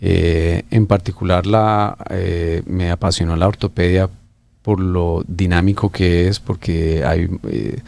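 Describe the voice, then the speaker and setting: A middle-aged man from Colombia, an orthopedic surgeon explaining treatments in an interview, pausing often with fillers and restarts. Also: deep voice, steady tone, vocal fry, a little mellow. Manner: hesitant, many fillers and restarts.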